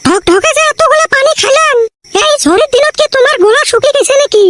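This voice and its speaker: Shrill scolding cartoon housewife. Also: female voice, expressive, fast talker, noisy background. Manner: scolding, exclaiming, rural dialect. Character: quarrelsome village housewife, sharp-tongued, quick to take offense. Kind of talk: cartoon comedy dubbing. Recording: loud music bed under voice.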